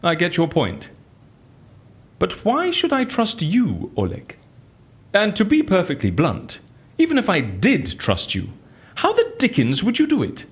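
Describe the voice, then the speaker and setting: An actor playing a flustered, wry, talkative British prisoner in an audio drama, theatrical and full of quaint exclamations. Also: male voice, high-pitched, wildly swooping pitch, unhurried, heavily acted. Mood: probing, exasperated.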